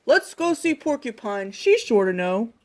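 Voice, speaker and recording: A woman voicing a big, hearty male bear in a storybook, loud and exclamatory. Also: a little high, sing-song, a little slow. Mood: commanding.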